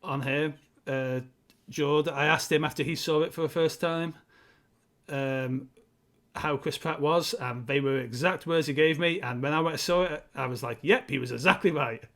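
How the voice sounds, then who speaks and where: A man with a fairly high-pitched voice co-hosts a casual discussion show. He explains his points conversationally, feeling his way through sentences as he goes. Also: moderate pace, a bit bright. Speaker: co-host of a film discussion show. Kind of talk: casual chat, film commentary.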